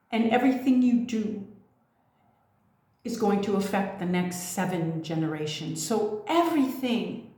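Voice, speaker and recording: A woman's voice: on the high side, a little mellow. A woman giving an impassioned, profane monologue as a spiritual coach, firing off rhetorical questions and swearing freely. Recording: very echoey room.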